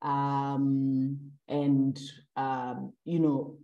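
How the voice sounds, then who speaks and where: A woman's voice: rumbling low, little pitch movement, extremely slow, roomy sound, dull audio. A young adult woman from Southern Africa, interviewing in a casual, hesitant way with many fillers.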